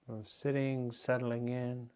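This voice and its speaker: A man's voice: medium pitch, completely flat delivery, clear, resonant tone. Man leading a guided meditation. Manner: gentle, unhurried instruction with pauses. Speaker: meditation teacher.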